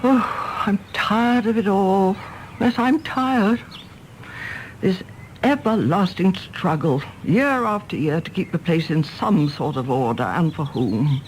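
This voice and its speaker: Older English mother, querulous, self-pitying, very animated intonation, radio play, slightly noisy recording. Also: medium pitch, slow. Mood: weary, aggrieved. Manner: fussing, querulous, imperious. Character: anxious, domineering, self-pitying mother of a grown son. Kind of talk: British radio drama acting.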